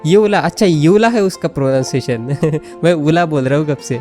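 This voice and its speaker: Female Hindi-speaking gamer, Indian accent: deep for a woman, extremely quick, amused and offhand over soft music. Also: expressive. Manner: amused, often chuckling. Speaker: gaming video host. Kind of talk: casual let's-play commentary.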